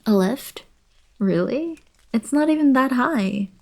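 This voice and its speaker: A woman playing a playful, teasing young alien princess girlfriend in an intimate ASMR roleplay, speaking softly and breathily. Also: sits high, lively intonation, takes it slowly, heavily acted. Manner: soft, breathy, casual teasing delivery. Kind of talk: intimate ASMR roleplay, scripted monologue to the listener.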